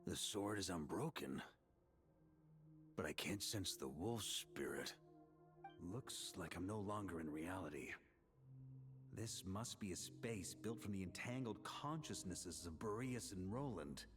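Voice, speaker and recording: An actor playing a seasoned male knight commander in a video game, calm and self-assured. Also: sits low, unhurried, music in the background. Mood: wary.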